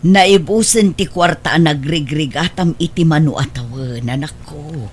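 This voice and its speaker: Woman acting worried elderly Ilocano mother, low-pitched. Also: normal speed, a little hiss or hum, lightly acted. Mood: worried scolding. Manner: pleading, admonishing. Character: worried elderly mother and grandmother, pleading and scolding. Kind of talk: radio drama acting.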